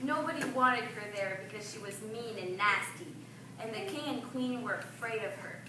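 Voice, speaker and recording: Woman doing storytime for little kids, high voice, super echoey and kinda noisy recording. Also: moderate pace. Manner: instructive, prompts listeners with questions. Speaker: library staff narrator of a puppet show. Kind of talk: storytelling to young children. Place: public library event room. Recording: echoey room from far off, noisy.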